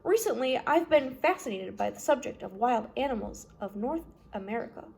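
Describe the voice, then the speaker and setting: A woman's voice: fairly high pitch, expressive, moderate pace, vocal fry, darkish tone, poor audio. A woman voicing a studious, bookish orphan boy in a storybook, polite and earnest. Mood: eager. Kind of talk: storybook character voice.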